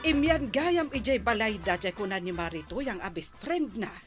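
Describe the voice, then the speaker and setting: A woman's voice: medium pitch, animated, moderate pace, music in the background, lightly acted. A woman with an Ilocano accent playing a nosy, gossiping village woman in a comedy radio drama. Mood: gossipy.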